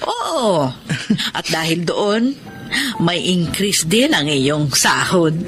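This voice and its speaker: An actress playing a cheerful, approving middle-aged restaurant owner in a radio drama. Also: female voice, fairly low pitch, big pitch swings, extremely slow, exaggerated voice. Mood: pleased, praising. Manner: chuckling, leisurely and praising. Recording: music behind the voice.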